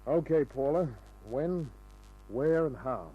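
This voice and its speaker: Sardonic radio private eye, muffled recording. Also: male voice, on the high side, animated, slow pace. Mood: wry, guarded. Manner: dry, sardonic, world-weary. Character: wisecracking hard-boiled male private detective. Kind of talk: 1940s-50s radio crime drama narration and dialogue. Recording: dull old radio recording with music.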